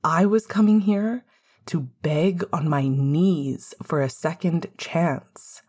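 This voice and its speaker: A woman voicing a confused, emotional, remorseful man in love, halting and disbelieving, in fan fiction audiobook dialogue. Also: sits low, darkish tone, breathy edge, full character voice. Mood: incredulous.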